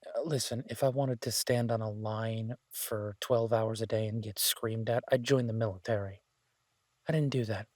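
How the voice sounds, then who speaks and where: A man playing a laid-back, idealistic chef in an audio roleplay, talking casually with hesitant pauses and mild swearing. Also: lowish voice, steady tone, darkish tone, dry recording, studio quality, natural voice. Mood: earnest, opinionated. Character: laid-back, idealistic male chef, devoted to honest food. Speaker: chef and restaurant owner character in an audio roleplay. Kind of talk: intimate conversational audio roleplay.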